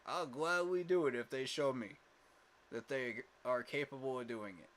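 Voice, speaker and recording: A man's voice: mid-range voice, moderate pace, fairly bright tone. A man hosting a casual fan livestream, talking emphatically with lots of fillers and repeated words, tired from little sleep. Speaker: fan channel host.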